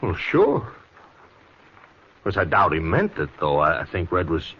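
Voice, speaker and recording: An American actor playing an anxious, earnest cowhand with folksy speech in a 1950s radio western. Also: male voice, low-pitched, wildly swooping pitch, slow, breathy edge, exaggerated voice. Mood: anxious explaining. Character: agitated cowhand reporting trouble to the marshal. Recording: muffled, faintly noisy 1950s radio recording.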